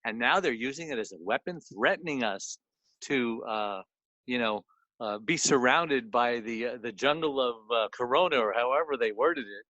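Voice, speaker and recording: A man co-hosts a radio segment, talking conversationally at an average pitch. His voice comes through very dry, without any room sound. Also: expressive, normal speed, sharp tone, no echo, muffled recording.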